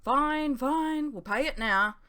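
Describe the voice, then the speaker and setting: A brash young male student, acted by a woman narrator in an audiobook. He is exuberant and theatrical, lifted high and full of cheek and melodrama. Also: takes it slowly, bright, forceful tone, exaggerated voice. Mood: grudging. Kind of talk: dramatised audiobook dialogue.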